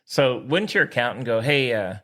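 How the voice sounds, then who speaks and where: A man's voice: medium pitch, expressive, moderate pace, pushed and bright. A man co-hosting a podcast in casual, slangy sports banter. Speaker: podcast co-host.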